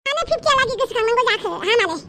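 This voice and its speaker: A woman voicing a cheeky, eager young singer in a cartoon, brisk and pleading. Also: very high-pitched, expressive, noisy background. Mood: complaining. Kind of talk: cartoon dialogue. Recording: loud music over the voice.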